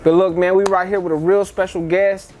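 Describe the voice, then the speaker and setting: A man's voice: high voice, little pitch movement, a little fast, darkish tone. A man hosting an interview in casual, slang-filled conversational talk. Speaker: interview host. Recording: clear background noise, ambience.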